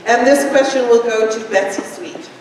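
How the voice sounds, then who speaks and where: An older woman moderating a forum with a handheld mic, relaxed and a little hesitant. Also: fairly high pitch, normal speed. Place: large school gym, indoors. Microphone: handheld mic at a podium. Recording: echoey gym from far off, crowd noise.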